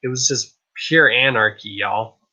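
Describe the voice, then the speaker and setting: A man hosting a casual live stream show, chatting in a hyped, rambling way, his voice a little raspy. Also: medium pitch, measured pace, sharp tone, muffled recording. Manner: hyped, rambling, repeats "I kid you not". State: slightly raspy voice.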